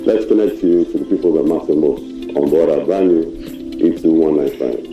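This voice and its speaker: A man's voice: rumbling low, brisk, echoey room, over music, rough recording. A man speaking confidently as an airline spokesman in a promotional ad. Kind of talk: promotional speech.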